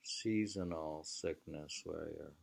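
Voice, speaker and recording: An American man reading a literary book aloud in an unhurried, musing manner, often pausing to repeat or mull over phrases. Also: rumbling low, creaky, darkish tone. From New England, United States. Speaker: reader.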